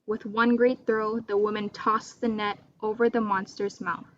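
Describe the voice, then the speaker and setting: A woman's voice: mid-range voice, little pitch movement, a little slow. A woman storyteller giving a scripted, dramatic narration of a folktale. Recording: dull lo-fi recording with loud mains hum.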